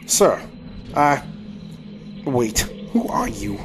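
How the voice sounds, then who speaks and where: A man's voice: on the high side, very animated, drawn-out words, vocal fry, over music, heavily acted. An actor playing a proud, indignant Southern crossbowman of the 1870s in an audio drama, with formal, theatrical speech. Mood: flustered. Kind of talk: audio drama with first-person narration.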